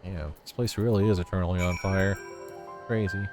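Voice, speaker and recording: Low-voiced man streaming over music. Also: steady tone, moderate pace. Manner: informal, muttering to himself, swears. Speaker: game streamer. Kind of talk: casual gameplay commentary. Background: game music.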